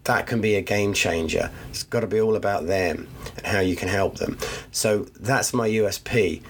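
A man's voice: deep voice, slight background noise. A man who is a photographer, congested from the flu, explaining his ideas thoughtfully on a podcast from his studio over the line. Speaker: guest photographer. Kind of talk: podcast interview. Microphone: phone line.

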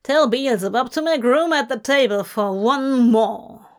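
A woman's voice: a little high, expressive, a little slow. A woman acting out a furious, menacing male archangel. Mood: mocking, hateful. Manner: growling, snarling, roaring. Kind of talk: dramatic audiobook-style fiction reading.

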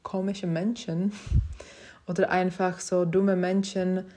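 Young woman, non-native speaker, slow and hesitant, flat even delivery, lower voice, podcast host. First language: not German. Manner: hesitant, many fillers and restarts; self-reflective, encouraging. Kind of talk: casual personal monologue.

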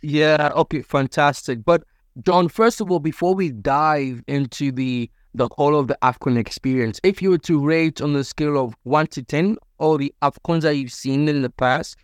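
A man's voice: a little high, moderate pace, smooth, no room sound, studio quality. A man hosting a sports business podcast, introducing his guest and asking questions in an upbeat, formal way. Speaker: podcast host.

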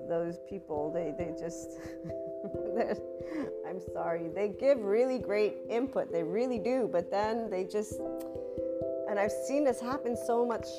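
A woman hosting a spiritual podcast, talking in a rambling, chatty way with asides; her voice is a little rough and deeper than usual today. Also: a little slow, music in the background. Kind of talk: casual monologue.

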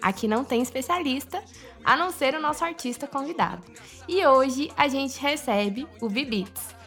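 A woman's voice: high-pitched, lively intonation, moderate pace, fairly bright tone, faint music behind. A woman with a Goiás accent hosting a podcast, chatting casually and upbeat, often laughing and chiming in. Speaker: podcast host. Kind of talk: casual interview chat.

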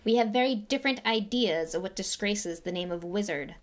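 A woman's voice: mid-range voice, a little fast, natural voice. A woman voicing a harried middle-aged wizard father, stern and exasperated. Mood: cold.

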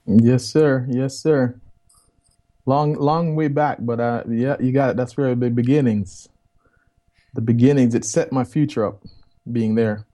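Mellow Jamaican man telling his story. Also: mid-range voice, unhurried. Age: 50s. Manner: rambling, relaxed, repeats words and says man. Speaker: guest. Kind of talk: storytelling in casual interview.

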